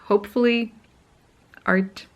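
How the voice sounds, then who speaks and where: A woman's voice: mid-range voice, steady tone, slow pace. Chatty woman with a slight Israeli accent. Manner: chatty, rambling, many fillers. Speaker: art vlogger. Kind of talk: casual vlog chat. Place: hotel room.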